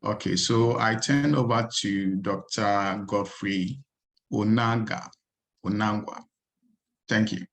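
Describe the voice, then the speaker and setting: A man's voice: on the low side, slow pace, gravelly. A man from Ghana who lectures in agricultural extension introduces himself on a video call in a plain, slightly formal way.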